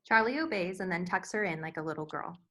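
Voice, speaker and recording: A woman's voice: on the low side, expressive, fast, fairly bright tone. A woman giving scripted narration, reading out scene headings and action at a screenplay table read. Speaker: narrator reading stage directions.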